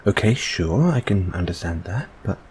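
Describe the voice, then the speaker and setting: A man playing a gentle, reassuring boyfriend in an intimate comfort audio roleplay, speaking softly and hesitantly. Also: deep voice, animated, a little hiss or hum. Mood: concerned. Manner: soft, hesitant, soothing, unhurried.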